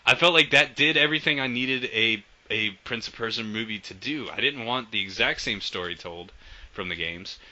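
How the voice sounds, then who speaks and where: A man co-hosting a casual podcast, his voice very bright and forward, a little on the low side. He rambles animatedly and laughs easily, and the recording is dull and lo-fi. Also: moderate pace. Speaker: podcast co-host.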